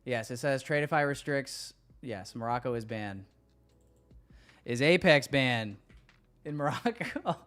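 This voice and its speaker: A man's voice: medium pitch, animated, a little slow, long pauses, fairly bright tone. A man hosting a livestream, chatting casually with his viewers in a slangy, joking way and swearing freely. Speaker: livestream host.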